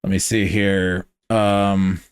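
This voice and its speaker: A man in casual podcast conversation with a smooth, resonant voice, recorded close and dry. He talks in a relaxed, easy way, explaining historical details from memory. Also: low voice, slow, no room sound. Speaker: podcast co-host.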